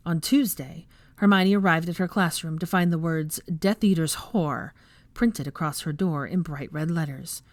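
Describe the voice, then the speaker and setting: A woman narrates a piece of fan fiction in a scripted storytelling style. Her voice sits slightly low, and the recording is dry, with very little room around it. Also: darkish tone, no echo. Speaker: audiobook narrator.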